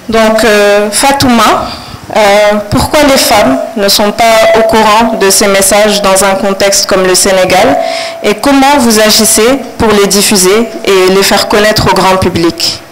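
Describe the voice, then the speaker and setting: A woman moderating a panel, asking a question in a slow, measured way. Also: medium pitch, steady tone. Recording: audible background noise.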